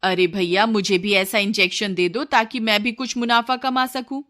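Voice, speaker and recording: A woman's voice: mid-range voice, fairly even intonation, normal speed, a bit bright, lightly acted. A woman voicing a greedy, sly village wife and mother in a cartoon story, with exclamatory, pleading speech. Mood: eager, complaining.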